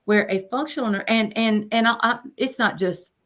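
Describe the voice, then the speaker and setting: A woman's voice: on the high side, moderate pace, a bit bright. A woman in her fifties, a coach, talking about her experience as an interview guest in a chatty way and repeating phrases for emphasis. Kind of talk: casual interview storytelling.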